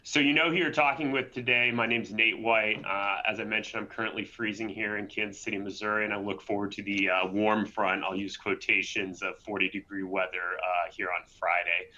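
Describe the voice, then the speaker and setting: A man with a fairly low but bright, forceful voice hosts a webinar. He talks in a conversational way and often tags on "right?". The audio is dull and lo-fi. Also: little pitch movement, brisk, few pauses. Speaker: webinar host and presenter. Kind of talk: webinar presentation.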